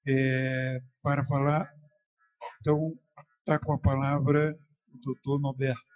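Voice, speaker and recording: A man with a Brazilian accent moderating a session, haltingly reading out a formal introduction. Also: medium pitch, level tone, no room sound, dull audio.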